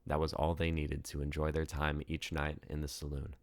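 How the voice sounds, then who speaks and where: A man with a deep voice narrates fiction as an author reading his own book. The delivery is scripted storytelling. The recording is very dry, with little room sound. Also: completely flat delivery, normal speed, dry recording.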